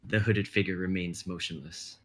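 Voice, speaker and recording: A young man reading stage directions in a steady, measured way. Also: deep voice. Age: young adult.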